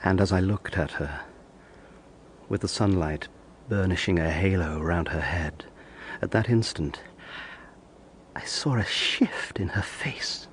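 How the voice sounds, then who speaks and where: An actor playing an earnest, sensitive young lawyer in an audio drama, gentle and literary in his telling. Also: low-pitched, takes it slowly, slight background noise. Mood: awed. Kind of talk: audio drama narration and acting.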